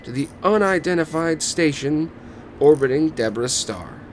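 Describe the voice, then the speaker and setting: A man's voice: on the high side, animated, normal speed. A man narrating an amateur screenplay table read as a podcast host. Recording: noticeable background noise.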